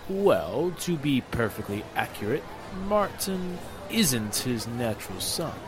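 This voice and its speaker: Man playing a slow, mournful, formal businessman. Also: mid-range voice, very animated. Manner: measured, formal, deliberate. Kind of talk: 1940s radio drama acting. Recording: music and crowd murmur behind the voice.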